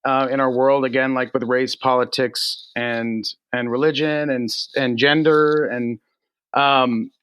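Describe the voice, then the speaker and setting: A man from Texas answering interview questions casually as a songwriter guest, with halting phrasing and repeated words. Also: mid-range voice, level tone, fairly bright tone, smooth, no room sound. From United States, Texas.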